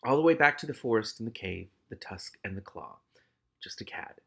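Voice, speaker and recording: A man's voice: on the low side, animated, fairly quick, vocal fry, fairly bright tone, husky. A man hosting a read-aloud of a novel, reading dramatically and breaking off into casual, exclamatory, sweary commentary. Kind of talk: expressive audiobook-style reading with casual reactive commentary.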